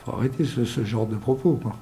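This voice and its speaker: A man's voice: medium pitch, a little fast, dark tone, slight background noise. An older man from Algeria, telling his story in an interview with many hesitations and restarts. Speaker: interviewee, local resident. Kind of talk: casual spoken testimony.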